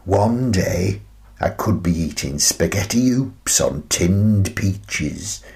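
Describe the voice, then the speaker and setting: Actor as a slow, low-voiced old British grandfather. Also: lively intonation, gravelly, darkish tone, heavily acted. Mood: playful. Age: older. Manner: slow, rambling, reflective, with hesitant pauses. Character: gentle, wistful, eccentric old grandfather living in a playhouse. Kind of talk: radio play monologue.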